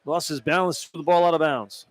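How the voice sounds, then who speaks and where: A man's voice: a little high, steady tone, brisk. A man giving plain-spoken, conversational color commentary on a live basketball radio broadcast, repeating points for emphasis. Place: large indoor basketball arena. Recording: noisy live arena broadcast. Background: loud arena ambience.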